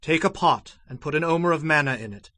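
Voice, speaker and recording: An actor playing a stern, fervent prophet in an audio Bible drama, speaking in a solemn, declamatory way. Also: male voice, fairly high pitch, lively intonation, fast talker, bright, forceful tone, dry recording, clean studio sound. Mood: solemn, commanding. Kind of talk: dramatized scripture acting.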